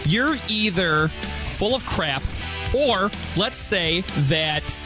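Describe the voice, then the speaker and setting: A man argues his point with wry bluntness in a casual group chat. His voice is high and lively, with music in the background. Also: slow pace.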